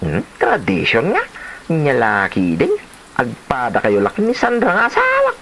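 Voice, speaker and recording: A man's voice: mid-range voice, wildly swooping pitch, moderate pace, fairly bright tone, slight background noise, low-quality recording. A man playing a stubborn, joking, blustering husband in a comedy audio drama. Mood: dismissive. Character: stubborn, joking husband who raids grave offerings. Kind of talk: comedy radio-style drama acting.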